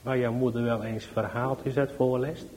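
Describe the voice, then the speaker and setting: A man's voice: mid-range voice, level tone, normal speed, darkish tone, slight background noise, close to an everyday voice. A man voicing a stern, impatient policeman in a children's story.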